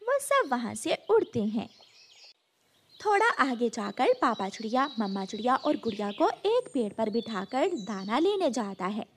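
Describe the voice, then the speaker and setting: A woman narrates a children's cartoon story from a script. Her voice is high and very animated in pitch. Faint noise sits behind her. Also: measured pace.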